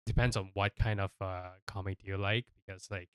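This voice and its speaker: A man's voice: deep voice, fairly even intonation, a little fast, a bit bright, clear, resonant tone, no echo, clean studio sound. A man from Malaysia hosting a casual podcast, explaining things in a rambling way and often checking in with "right?" and "you know". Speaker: podcast host.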